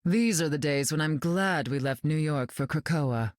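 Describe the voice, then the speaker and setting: A woman as a haughty low-voiced telepath queen. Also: moderate pace, darkish tone, dry recording, clean studio sound, natural voice. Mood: dry, condescending. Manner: arch, condescending, drawling. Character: haughty, flirtatious mutant telepath queen, glamorous and cutting. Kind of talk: video game voice acting.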